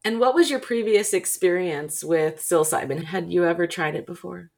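Lowish-voiced woman hosting a podcast, gushy and excited. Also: moderate pace, gravelly. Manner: enthusiastic, gushing. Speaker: podcast host, medical coordinator. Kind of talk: scripted intro then interview questions.

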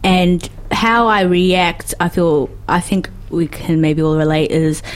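A woman in her twenties with a New Zealand accent, speaking thoughtfully and in a measured way as a podcast guest. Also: on the low side. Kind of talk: reflective conversation.

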